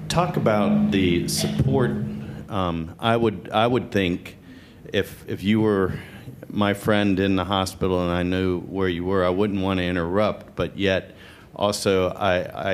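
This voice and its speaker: A man in the audience speaks in a very deep voice and stumbles hesitantly through his question with many fillers. A faint hiss sits under him. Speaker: audience member asking a question.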